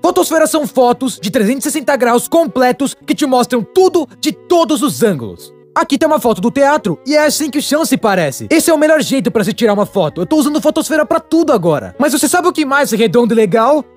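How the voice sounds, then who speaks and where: A Brazilian man voicing a young, wry cartoon storyteller in a chatty, animated dubbed narration. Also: very high-pitched, quick pace, faint music behind, heavily acted.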